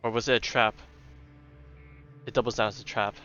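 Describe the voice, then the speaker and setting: A man's voice: fairly low pitch, flat delivery, moderate pace, sharp tone. Man musing through a game, slightly dull sound. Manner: thinking aloud, musing. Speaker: game streamer. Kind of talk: casual live gameplay commentary. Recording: slightly dull audio, game music behind.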